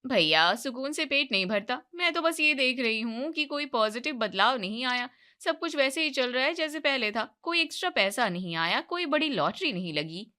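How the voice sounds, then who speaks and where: A woman's voice: high voice, lively intonation, normal speed, few pauses, bright, forceful tone. A woman voicing a blunt, sarcastic, money-hungry young daughter in a moral-story cartoon. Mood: scornful, insistent. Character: sharp, greedy, money-minded young daughter, scornful and insistent.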